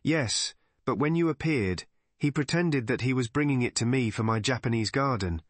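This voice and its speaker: A shrewd, elderly lady sleuth of a cozy mystery audiobook, played by a male narrator. The delivery is calm, measured and methodical, laying out each deduction step by step. The sound is close and dry. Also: medium pitch, no echo, close to an everyday voice. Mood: methodical, assured. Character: shrewd, calm elderly woman amateur sleuth explaining a murder.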